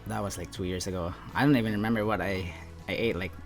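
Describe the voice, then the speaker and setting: A man's voice: low-pitched, steady tone, fast, faint music behind. A man of about thirty from the Philippines, chatting casually and hesitantly, full of fillers. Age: 30s. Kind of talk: casual chat.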